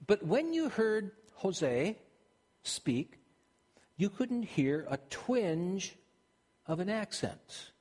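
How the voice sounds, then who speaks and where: A man's voice: high-pitched, very animated, drawn-out words, pauses often, a little mellow. An older man from Minnesota with a Minnesotan accent, preaching a sermon in a conversational way with frequent restarts. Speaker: pastor. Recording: long hall reverb.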